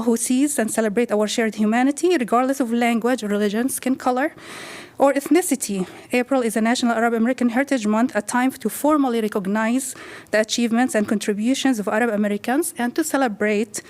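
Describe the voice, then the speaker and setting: Higher-voiced woman reading a formal statement to city council, steady and measured. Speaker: community advocate.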